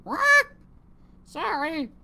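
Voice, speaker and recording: A woman's voice: very high-pitched, very animated, drawn-out words, vocal fry, heavily acted. A woman voicing a demanding pet parrot that squawks and repeats its phrases. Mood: squawky. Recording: loud background noise.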